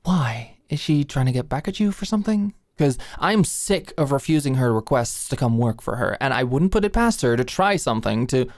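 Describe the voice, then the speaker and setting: A woman's voice: very deep voice, expressive, moderate pace, few pauses, a little mellow, no echo, studio quality. A woman playing an affectionate, fussing and coaxing ex-manager girlfriend in an intimate ASMR roleplay. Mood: probing, curious. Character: doting, eager, dominant ex-manager girlfriend at home.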